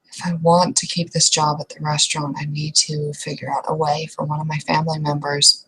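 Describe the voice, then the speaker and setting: A woman speaking casually as a player in a roleplay session, hesitant and halting, often trailing off. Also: low voice, completely flat delivery, fairly bright tone, husky, poor audio. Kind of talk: casual in-character roleplay talk.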